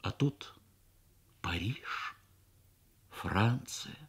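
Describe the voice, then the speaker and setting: A man reads a literary short story for radio in measured, expressive phrases with frequent pauses. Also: fairly low pitch, gravelly, breathy edge, whispered. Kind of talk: literary narration, Soviet radio story reading.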